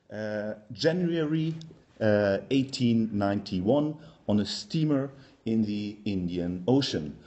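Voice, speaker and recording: Man, German accent, academic talk, lowish mellow voice, hesitant with fillers. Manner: hesitant, many ums and uhs. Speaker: university lecturer. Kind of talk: academic workshop presentation.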